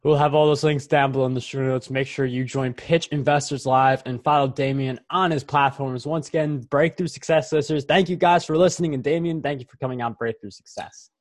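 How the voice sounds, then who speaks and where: A male podcast host sums up an interview and thanks his guest, steady and upbeat. His voice is recorded close and dry, with no room sound. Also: medium pitch, fairly quick, hardly any pauses, no echo. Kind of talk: interview hosting and ad read.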